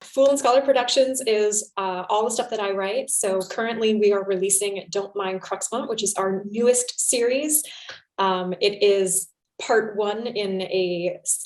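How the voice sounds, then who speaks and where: A woman writer on a panel, casually presenting her own work, recorded in an echoey room. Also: mid-range voice. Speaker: panelist, fiction podcast writer. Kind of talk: panel discussion, promoting own shows.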